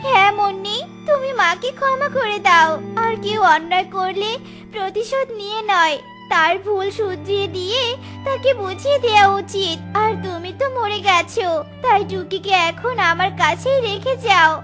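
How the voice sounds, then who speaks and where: A woman plays an upright young daughter-in-law in a cartoon drama. The voice is extremely high, emphatic and earnest, with clear music behind it. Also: lively intonation, moderate pace. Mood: earnest pleading. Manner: emphatic, pleading, melodramatic. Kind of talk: cartoon story voice acting.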